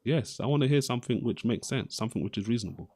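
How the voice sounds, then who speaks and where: A man's voice: mid-range voice, lively intonation, rapid-fire, warm tone, husky. A man arguing in an unscripted live debate as its host, insistently repeating and restarting his phrases.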